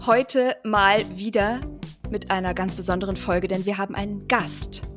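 A woman in her forties hosts a podcast, speaking clearly and with an upbeat, prepared delivery. Her pitch is average for a woman. Faint music plays behind her voice. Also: steady tone, moderate pace. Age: 40s. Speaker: podcast co-host. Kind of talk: podcast intro and interview questions.

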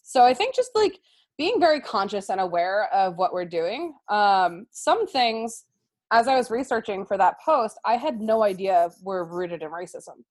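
A woman's voice: medium pitch, big pitch swings, measured pace. Earnest American woman guest explaining. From United States. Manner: earnest, explanatory, frequent "um" and "right?". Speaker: guest, sixth grade teacher. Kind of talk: conversational interview.

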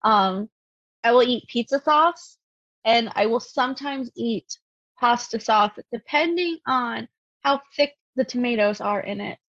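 A woman's voice: fairly high pitch, a little slow, a bit bright, no room sound, highs cut off. A woman co-hosting a podcast in casual, blunt chat with some swearing. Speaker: podcast co-host.

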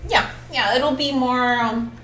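A woman with a nasal voice, co-hosting a relaxed podcast conversation at an unhurried pace. She speaks softly and answers in short agreeing sounds, with a noticeable room echo around her voice. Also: sits high, soft background music. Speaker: podcast co-host. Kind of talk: casual chat. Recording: roomy echo, faint noise.

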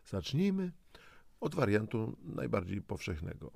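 Man, lowish pitch, crackly gravel, dry close sound, instructive, coaxing, motivational audio host. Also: sing-song, a little slow, a little mellow, dry recording. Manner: coaxing, instructive, with rhetorical questions. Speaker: host of a health and lifestyle audio programme. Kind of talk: semi-scripted motivational narration addressed to the listener.

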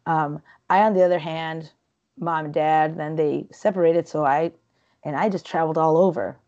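A low-voiced woman from Peru chats freely as an interview guest, wandering from thought to thought with plenty of fillers and restarts. Her tone is dark and mellow. The recording sounds a bit dull and lo-fi. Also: fairly even intonation, moderate pace. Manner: chatty and rambling, many fillers and restarts. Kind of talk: casual interview conversation.

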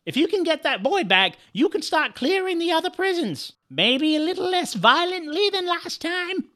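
A woman performs a coaxing female guide from an audio story. Her voice is very high and bright, with lively melody. She urges the listener on. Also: normal speed, full character voice. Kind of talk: dramatic audiobook character dialogue.

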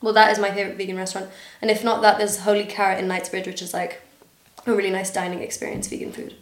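A woman's voice: mid-range voice, flat delivery, quick pace. Chatty woman with an international school accent. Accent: international school, partly American. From Dubai. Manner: chatty, giggly, trailing fillers. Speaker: podcast guest. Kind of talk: casual chat. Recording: echoey room.

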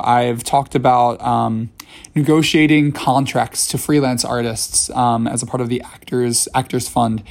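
A man in his thirties, slightly hoarse from a voice that is still recovering, talking casually on his own podcast with plenty of fillers and restarts. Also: medium pitch, darkish tone. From New York City. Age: 30s. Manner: rambling, many fillers and restarts. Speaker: podcast host. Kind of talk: casual solo podcast talk.

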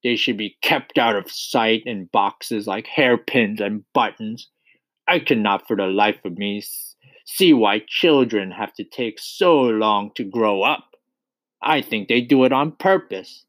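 A man's voice: on the low side, a little slow, fairly bright tone, no room sound, poor audio. A man voicing a huge, bullying headmistress from a children's storybook, barking with contempt for small children. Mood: contemptuous.

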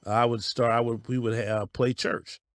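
Folksy middle-aged pastor, dry close sound. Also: male voice, mid-range voice, little pitch movement, normal speed, no echo. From Denver, Colorado. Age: 50s. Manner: folksy, storytelling, laughs easily. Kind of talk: interview guest telling life story.

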